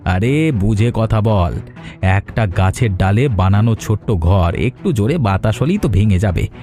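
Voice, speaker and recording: Smug wealthy cartoon villain, male actor, low-leaning and soft-edged, needling and haughty. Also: expressive, a little slow. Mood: condescending, persuasive. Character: wealthy scheming village man, smug and condescending. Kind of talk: Bengali moral-story cartoon voice acting. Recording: music behind the voice.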